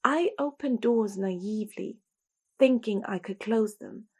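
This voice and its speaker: Slow, husky woman reciting a poetic monologue. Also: mid-range voice. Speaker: monologue performer.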